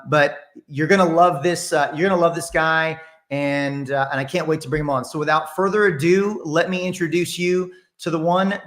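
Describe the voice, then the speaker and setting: An American man with a slightly high voice hosts a podcast. He speaks in a casual, conversational interview style, as someone from the East Coast. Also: a bit bright. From East Coast of the United States. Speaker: podcast host.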